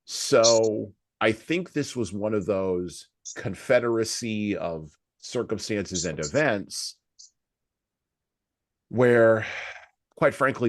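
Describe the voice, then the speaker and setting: Very deep-voiced American man, close dry sound. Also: lively intonation, slow, no room sound. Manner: emphatic, repeats phrases for effect, poses rhetorical questions. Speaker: podcast host. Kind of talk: conversational book discussion and commentary.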